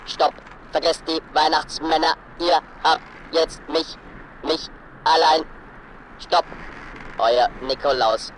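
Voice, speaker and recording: A man's voice: a little high, a bit bright, slight background noise, low-quality recording. A man playing a stiff secret-service dispatcher in a children's audio play, reading out messages in a clipped, official way.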